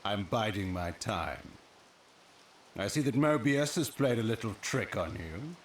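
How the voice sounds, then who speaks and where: An actor playing an ancient, cunning vampire lord in a video game, speaking in a deliberate, theatrical, ominous manner. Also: male voice, lowish voice, expressive, echoey room, distant mic, slight background noise. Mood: wry, sly. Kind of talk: dramatic video game cutscene acting.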